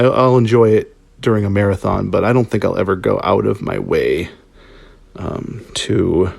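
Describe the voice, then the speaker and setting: A man's voice: fairly low pitch, vocal fry, darkish tone, husky, dry recording. A man giving a casual spoken review as listener feedback, rambling and hesitant as he thinks aloud.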